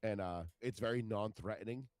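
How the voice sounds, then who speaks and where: A man's voice: lowish voice, fairly quick, darkish tone, dry recording, clean studio sound. A man in his forties with a stuffed-up, nasal voice, rambling crudely and mocking himself in a casual chat as co-host. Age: 40s. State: stuffed up, congested. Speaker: podcast co-host.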